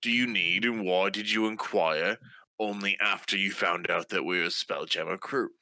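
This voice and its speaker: A man's voice: low-pitched, steady tone, normal speed, bright, forceful tone, a little hiss or hum. A man playing a tabletop game, improvising in character with a guarded, blunt, wry manner.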